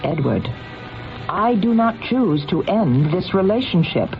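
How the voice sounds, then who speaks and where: A woman's voice: on the low side, big pitch swings, unhurried, highs cut off. An actress playing a cold, possessive, wealthy woman in old-time radio drama, speaking precisely and commandingly. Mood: imperious, possessive. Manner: imperious, precise, formal, commanding. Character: cold, possessive, wealthy, murderous businesswoman mistress. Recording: band-limited old radio drama with music.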